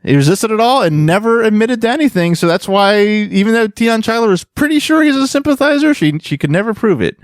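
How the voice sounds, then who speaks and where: A man hosting a casual panel podcast, telling fan lore in a jokey, animated storytelling way. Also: high voice, fairly quick, hardly any pauses, no echo, studio quality. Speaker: podcast host.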